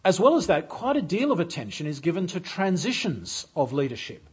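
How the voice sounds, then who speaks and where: Husky preacher man. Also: on the high side, sing-song, darkish tone. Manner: measured, with frequent "uh" fillers. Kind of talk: sermon. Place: church.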